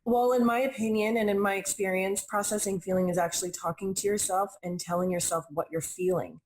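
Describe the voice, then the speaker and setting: A woman's voice: medium pitch, steady tone, brisk, a little mellow, rough recording. A young adult woman speaking as a guest in an interview, earnest and reflective, often repeating phrases for emphasis. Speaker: intuitive reader and healer, guest. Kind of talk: conversational interview.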